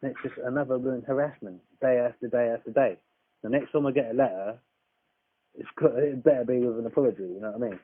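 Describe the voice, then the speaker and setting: A man's voice: medium pitch, quick pace, breathy edge, no echo. A man making an argumentative complaint over the phone, insistent, blunt and repetitive. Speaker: caller disputing a tax account. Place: phone call, recorded. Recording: dry, dull lo-fi phone call recording.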